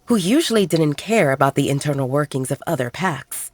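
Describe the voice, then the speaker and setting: Calm woman narrating a story. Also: low voice, a little fast, no echo. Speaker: audiobook narrator.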